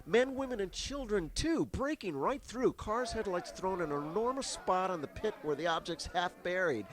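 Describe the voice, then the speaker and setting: A man's voice: high voice, expressive, moderate pace, crowd noise. A man playing a live radio field reporter, stammering and increasingly frantic. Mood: tense. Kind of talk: 1930s radio drama acting.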